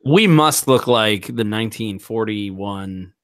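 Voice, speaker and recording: Lowish-voiced man in dry close sound. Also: animated, slow pace, dry recording. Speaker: player in a tabletop mystery game. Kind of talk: casual role-play chat.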